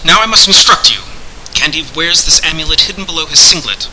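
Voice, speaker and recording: A man reading a fantasy storybook puts on a commanding sorcerer, courteous and declamatory. The voice is raised, loud and very bright, with archaic formal phrasing and a faint hiss behind it. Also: on the high side, lively intonation, brisk, pitched above the performer's own voice, poor audio. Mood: instructing.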